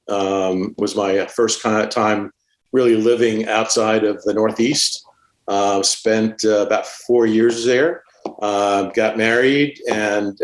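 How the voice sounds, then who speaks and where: A middle-aged man, born in Cuba and raised in New York, telling his career story as a podcast guest in a measured way, with many "uh" fillers. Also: fairly low pitch. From born in Cuba, grew up in Staten Island, New York. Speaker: podcast guest, supply chain executive. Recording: echoey room.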